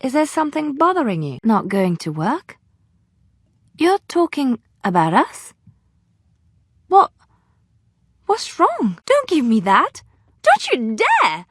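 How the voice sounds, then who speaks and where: An actress playing a devout, romantic young woman adventurer in a video game, heartfelt, coy and emotionally expressive. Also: high-pitched, takes it slowly, pauses often, dry recording, studio quality, heavily acted. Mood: anxious, upset. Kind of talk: video game dialogue acting.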